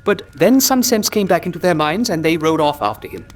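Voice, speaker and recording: An actor playing an earnest country doctor who tells a grim tale gravely and articulately in a radio drama. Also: male voice, a little high, expressive, a little fast, a little hiss or hum, lightly acted. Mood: grave, dramatic.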